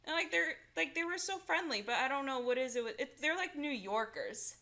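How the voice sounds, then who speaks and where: A woman's voice: sits high, expressive, brisk, sharp tone. A woman from Orange County with a Southern Californian accent, chatting as a guest and filling her speech with "like". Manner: chatty, lots of filler "like". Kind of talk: casual chat.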